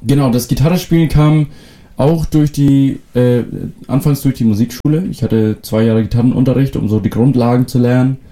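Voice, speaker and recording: A young man from the North Sea island of Föhr whose first language is North Frisian, answering interview questions in a relaxed way with many fillers and restarts. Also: medium pitch, brisk. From Föhr, northern Germany. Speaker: musician guest.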